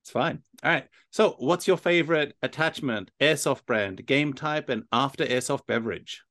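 A man's voice: medium pitch, normal speed, sharp tone, no room sound, studio quality. A man hosting a casual interview podcast, encouraging and agreeable. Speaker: podcast host.

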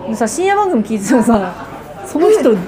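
Fast, animated woman chatting over crowd noise. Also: on the high side. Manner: animated, self-deprecating joking. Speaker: podcast co-host and radio presenter. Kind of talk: casual chat. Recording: chatter of people behind. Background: crowd noise of an eatery.

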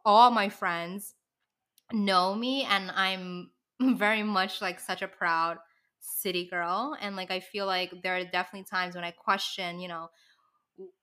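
A woman from New York City hosting a podcast, talking quickly and casually, with frequent "like" and laughs. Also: medium pitch, sharp tone. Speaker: podcast host. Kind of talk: casual conversation.

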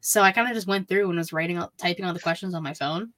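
A woman's voice: lowish voice, fast talker, a bit bright, no room sound. A woman co-hosting a podcast, chatting casually as a wrestling fan. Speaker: podcast co-host.

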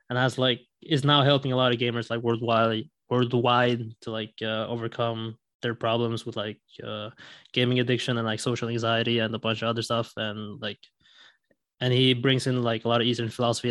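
A man's voice: mid-range voice, fairly bright tone, no echo. A young man from Sweden telling a story as a podcast guest, with many fillers and restarts. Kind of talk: casual storytelling.

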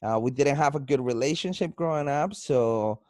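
A man with a Colombian Spanish accent hosts a relaxed podcast chat. He rambles and restarts, sounding loose and friendly. His voice is dry and close. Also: mid-range voice, moderate pace, no room sound. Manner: loose and chatty, with frequent restarts and laughs. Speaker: podcast host.

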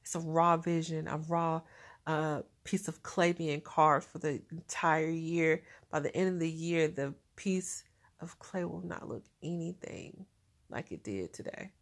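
A woman's voice: deep voice, unhurried. A woman with a nasal, stuffed-up voice talking in a casual diary vlog, chatty and laughing often. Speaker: vlogger.

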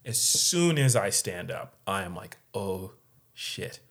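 A man co-hosting a casual podcast, telling a story in an animated way and acting out the people in it. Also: low-pitched, slow pace. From San Diego area. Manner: animated, acts out quoted voices. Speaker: podcast co-host.

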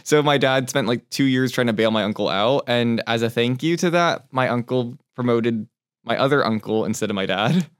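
Young chef guy on a podcast, smooth clear voice, easygoing, laughs a lot, dry mic. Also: mid-range voice, normal speed, no room sound. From born in Taiwan, raised in California. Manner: easygoing, laughs through his stories. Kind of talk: casual interview chat.

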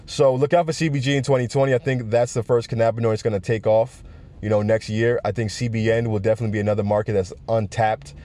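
A deep-voiced man, a podcast host, giving his opinions in a relaxed, conversational monologue and projecting his voice. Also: fast talker. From South Florida. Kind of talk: casual solo podcast rant.

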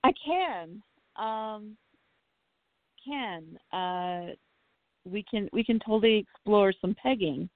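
Teasing woman, mid-pitched and fairly animated, casual banter over a narrow phone connection. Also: slow pace, pauses often, dry recording. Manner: playful, teasing. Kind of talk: casual chat. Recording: tinny phone line.

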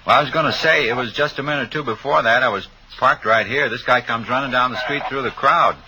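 A man's voice: medium pitch, quick pace, sharp tone. A man with an American accent playing a jaded city cab driver in a radio drama, slangy and offhand. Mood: offhand recollection. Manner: slangy, shrugging. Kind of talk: 1950s radio drama acting. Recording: noisy old radio recording.

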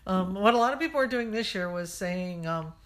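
A woman's voice: mid-range voice, animated, brisk. A woman co-hosting a podcast in casual chat, talkative and laughing often, trailing off mid-sentence. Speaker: podcast co-host.

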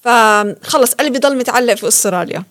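A woman, born in Kuwait, telling her life story as an interview guest with lively laughter. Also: on the high side, quick pace. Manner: animated storytelling, laughs often. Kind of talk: casual interview.

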